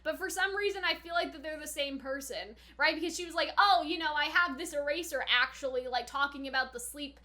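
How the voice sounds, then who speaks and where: A woman's voice: sits high, a little fast, hardly any pauses, sharp tone. A young woman giving casual, rambling reaction commentary in a chatty, slangy, giggly manner, often correcting herself as she goes. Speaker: anime reaction reviewer.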